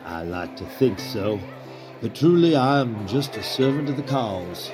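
A man acting a suave, proud Confederate conspirator in a period drama, smooth-talking and probing, with music underneath. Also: mid-range voice, expressive, unhurried, lightly acted. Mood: genial, sly.

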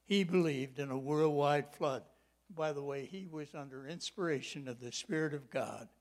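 An elderly man in his eighties delivers a sermon in a slightly raised voice. He leans hard on key words, stretching them out, then slips into quiet, homely asides and personal stories. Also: fairly high pitch, normal speed. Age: 80s. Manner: folksy personal storytelling. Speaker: preacher.

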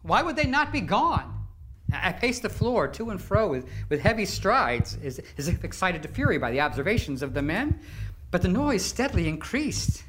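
Wildly swinging, guilt-maddened murderer. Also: male voice, sits high, big pitch swings, a bit bright, slight background noise, poor audio. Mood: frantic. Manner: halting, emphatic dramatic phrasing. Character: guilt-maddened male murderer confessing, nervous, boastful, frantic. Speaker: actor giving a dramatic reading. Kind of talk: theatrical gothic horror story reading.

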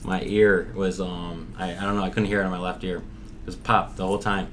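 A man's voice: deep voice, flat delivery, brisk. A man with a slightly scratchy voice chatting casually on a podcast, rambling with lots of fillers and jokes. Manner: rambling, many fillers, joking. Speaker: podcast co-host. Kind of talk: casual joking chat.

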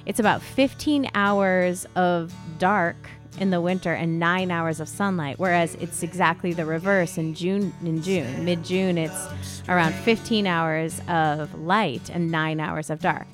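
A woman's voice: fairly low pitch, fairly even intonation, a little slow. Chatty woman podcaster over music. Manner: chatty, exclamatory, informal. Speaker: podcast co-host. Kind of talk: casual banter.

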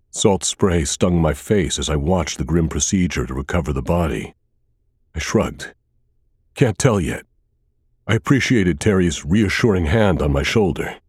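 Guy reading a crime audiobook, deep voice, lively intonation, really dry close studio sound, measured and dramatic. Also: husky, no room sound. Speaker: audiobook narrator. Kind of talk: scripted thriller narration in first person.

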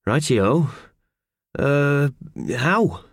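A man's voice: a little high, animated, very slow, no echo, studio quality, pitched above the performer's own voice, exaggerated voice. A man voicing a polite, nervous talking boiler in a children's story, with a timid and hesitant manner. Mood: bunged up, unsure. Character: polite, nervous, bunged-up old basement boiler.

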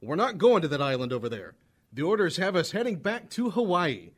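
A man's voice: on the high side, sing-song, fairly quick, a bit bright. A man playing a tough, battle-hardened Marine sergeant giving orders in a radio war drama. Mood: firm briefing. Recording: noisy, gunfire and battle sounds behind.

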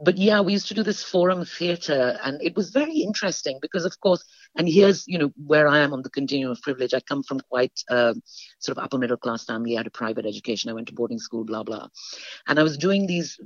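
A woman born in India and raised between the UK and India, speaking as an interview guest and telling stories in an animated, emphatic way. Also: fast talker, no room sound. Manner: animated, emphatic storyteller with lively pitch jumps. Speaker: guest, stage performer. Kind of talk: interview conversation. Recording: dull, dry sound.

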